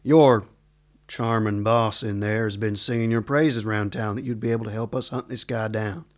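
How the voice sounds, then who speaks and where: A man's voice: fairly low pitch, steady tone, normal speed, natural voice. A man playing a laconic, wry, no-nonsense older US marshal in a western radio serial. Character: dry, weary, no-nonsense older Wild West marshal.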